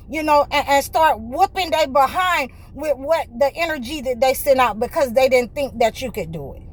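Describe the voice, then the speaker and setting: Fervent high-voiced woman preaching. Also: low-quality recording. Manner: fervent, repetitive, many restarts and "you know" fillers. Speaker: spiritual healer and channel host. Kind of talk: preaching monologue to viewers.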